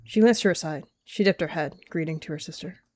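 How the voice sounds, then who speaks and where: A woman's voice: low-pitched, fairly quick, darkish tone. A woman narrating a cat roleplay story and speaking her character's lines in quotes.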